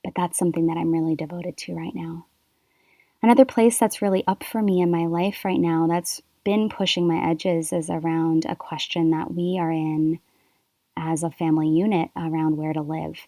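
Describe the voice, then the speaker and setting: A woman from Canada hosting her own podcast, speaking softly and thoughtfully in a reflective solo monologue with unhurried pauses. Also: low voice, steady tone, no echo. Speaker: podcast host.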